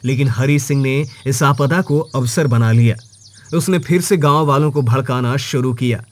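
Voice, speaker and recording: A man's voice: mid-range voice, normal speed. A man reading a scripted moral story as its narrator, in a dramatic storytelling style.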